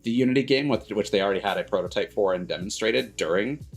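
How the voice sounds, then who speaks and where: A man hosting a livestream in casual chat, rambling and haltingly backtracking mid-sentence. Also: on the low side, vocal fry. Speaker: streamer host. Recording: music playing behind the voice. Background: background music.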